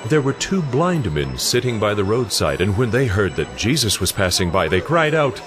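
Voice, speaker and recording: A man's voice: lowish voice, very animated, normal speed. A man playing the solemn narrator of a Bible audio drama, giving a scripted, storytelling read. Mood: dramatic narrating.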